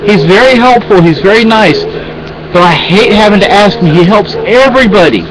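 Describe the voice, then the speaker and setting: A man's voice: sits high, lively intonation, moderate pace, crowd noise, poor audio. A man venting in a rambling, exasperated way in a casual home vlog, with many restarts. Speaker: vlogger.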